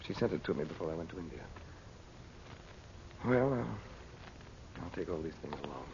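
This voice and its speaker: A man playing a calm, cautious uncle in a 1940s radio adventure, speaking in a measured, commanding way. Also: sits low, gravelly. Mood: grave, subdued. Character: calm, cautious uncle leading his young nephew through ruins. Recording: muffled, noisy old radio recording.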